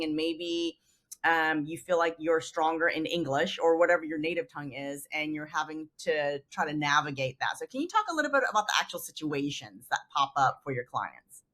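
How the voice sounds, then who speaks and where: A woman's voice: low voice, fairly bright tone, dry recording. A woman hosting a negotiation podcast, asking questions in a chatty way. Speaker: podcast host.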